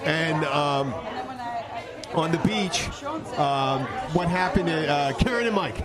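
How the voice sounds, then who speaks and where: A man chatting casually and telling stories in a halting way, often repeating his phrases. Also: fairly high pitch. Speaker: podcast host and bartender. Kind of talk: casual bar chat, storytelling. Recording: busy crowd noise, outdoor setting. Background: loud crowd chatter.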